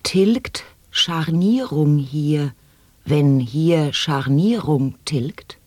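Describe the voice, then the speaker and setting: A performer delivering a long experimental wordplay monologue in a studio radio play, halting and word by word. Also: mid-range voice, expressive, darkish tone, no room sound. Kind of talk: experimental sound poetry monologue. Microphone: studio microphone.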